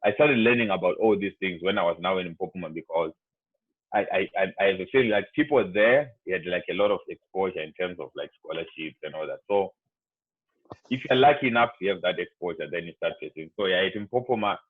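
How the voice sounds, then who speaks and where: Young Zimbabwean man, low voice, chatty and rambling student speaker, dry tinny video-call sound. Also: fairly quick, slightly rough, no room sound. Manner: rambling, heavy on "like" and "you know". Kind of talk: casual talk to students over a video call.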